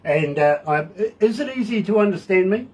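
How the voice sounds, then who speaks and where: A middle-aged man with a New Zealand accent hosting a radio interview, telling deadpan jokes with slow, drawn-out setups. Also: high voice. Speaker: radio show host. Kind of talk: casual joking interview.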